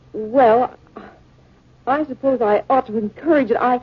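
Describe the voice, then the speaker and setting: High-pitched anxious housewife, old radio drama. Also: female voice, slow, husky. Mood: reluctant. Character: anxious, squeamish professor's wife who loathes insects. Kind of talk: 1950s radio drama acting. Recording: dull, band-limited 1950 radio recording.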